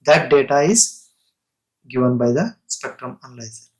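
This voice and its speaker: A man lecturing on technical material in a halting, explanatory way, breaking sentences into short phrases and restating terms. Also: mid-range voice, expressive, darkish tone.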